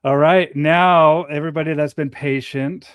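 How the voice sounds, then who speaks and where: A man's voice: fairly high pitch, steady tone, smooth, no room sound. Chatty male host, dry sound. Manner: chatty, quick restarts, joking. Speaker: show host. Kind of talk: casual livestream chat.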